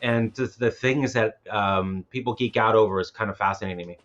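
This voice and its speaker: A man's voice: fairly low pitch, fairly quick, a bit bright, muffled recording. A man speaking casually as a filmmaker and festival organizer on a panel, rambling and often repeating words as he goes.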